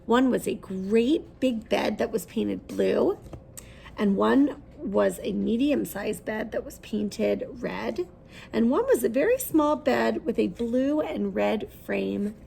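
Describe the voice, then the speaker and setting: A woman's voice: fairly high pitch, takes it slowly, creaky, dark tone, slight background noise, low-quality recording. A woman reading a children's story aloud expressively, putting questions to her listeners as she goes.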